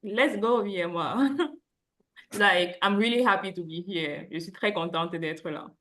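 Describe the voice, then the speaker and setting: A woman's voice: medium pitch, measured pace, a bit bright. Cheerful woman. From Cameroon. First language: French. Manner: cheerful, laughing. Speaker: medical graduate giving teaching points. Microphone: video call.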